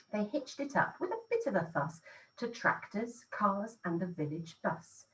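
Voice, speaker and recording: Unhurried woman teacher reading a children's book, sing-song and expressive, a bit off-mic. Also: mid-range voice, distant mic, a little hiss or hum, poor audio. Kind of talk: reading a rhyming picture book aloud.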